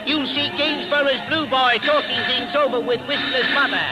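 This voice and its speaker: A showy carnival barker in a 1940s radio play. His voice is extremely high, with wry sideshow patter. Loud music fills the old recording. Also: fairly even intonation, moderate pace, poor audio. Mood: showy hawking.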